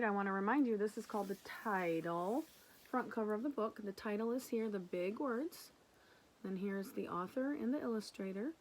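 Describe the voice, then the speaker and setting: A woman's voice: mid-range voice, a little hiss or hum, poor audio. A woman speaking as a teacher to young children, reading a picture book and explaining it simply and affectionately. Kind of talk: picture-book read-aloud with casual explanations.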